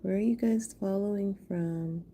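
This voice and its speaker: American woman, very soft dark tone, gravelly creak, affectionate livestream chat, appreciative, faint room noise. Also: medium pitch, unhurried. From Houston, Texas, USA. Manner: affectionate, appreciative, addresses viewers directly. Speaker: artist hosting a live art stream. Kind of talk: casual livestream chat with viewers while making art.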